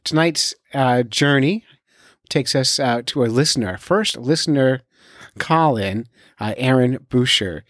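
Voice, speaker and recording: A man's voice: medium pitch, measured pace, a bit bright, no echo, clean studio sound. A man hosting a podcast in casual, unscripted talk, informally addressing and urging his listeners directly. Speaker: podcast host.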